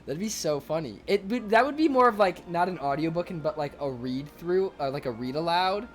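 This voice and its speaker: Excitable young man chatting on stream. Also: a little high, fairly quick. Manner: excitable, rambling, many fillers. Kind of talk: casual chat reading viewer comments.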